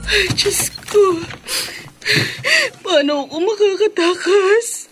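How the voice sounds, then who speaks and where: Desperate, tearful, very high-voiced young woman. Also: slow, faint music behind. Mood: desperate, fearful. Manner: pleading, tearful, talking to herself in distress. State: crying. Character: terrified, desperate young woman, abused overseas domestic worker. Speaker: radio drama actress. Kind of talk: Filipino radio drama acting.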